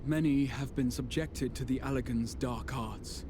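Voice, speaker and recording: A man's voice: mid-range voice, measured pace, background noise, lightly acted. A man voicing a grave, regretful adventurer in a fantasy game, with formal, somber phrasing. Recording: game music behind voice.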